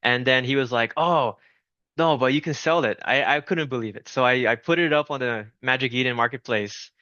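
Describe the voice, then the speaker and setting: A man's voice: mid-range voice, a little fast, sharp tone, no room sound, muffled recording. A man from Brazil, in his thirties, a founder talking as a guest in a friendly, chatty way with many restarts. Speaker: game studio founder, guest. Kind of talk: casual interview talk.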